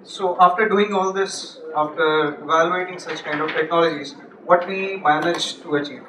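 A young man giving a technical talk at a meetup, halting with many restarts and fillers, on a handheld mic in a large office room. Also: on the high side. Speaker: conference speaker. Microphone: handheld mic, far camera. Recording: echoey room from far off, noisy.

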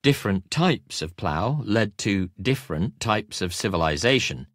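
Guy reading an audiobook, lowish voice, really animated melody, measured phrasing. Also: dry recording, studio quality. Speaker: audiobook narrator. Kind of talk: scripted nonfiction audiobook narration. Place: recording studio.

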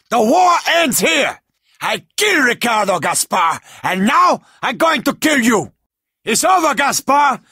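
Furious Cuban-accented crime boss, very high strained pitch, gravelly fry, video game taunts, menacing, emphatic. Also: male voice, bright, forceful tone, dry recording, clean studio sound, heavily acted. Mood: furious, threatening. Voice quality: raspy. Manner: profane, threatening, emphatic. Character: hot-tempered, vengeful Cuban gangster drug lord.

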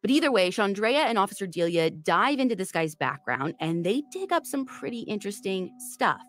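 A woman's voice: mid-range voice, very animated, a little fast, fairly bright tone. A woman hosting a true crime podcast, retelling a case in a chatty, storytelling way. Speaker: podcast host.

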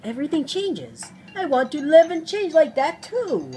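A woman's voice: high voice, wildly swooping pitch, normal speed, mellow, soft background music, voice pushed up. A woman voicing a gracious, thoughtful female movie star in a video game, poised and reflective.

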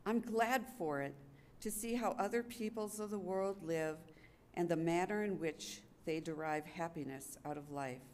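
A woman's voice: fairly low pitch, normal speed, darkish tone. An older woman reads a wartime letter aloud as a readers theater performer at a podium microphone. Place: public gallery event room, indoors. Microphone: gooseneck podium microphone. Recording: clearly noisy live room recording.

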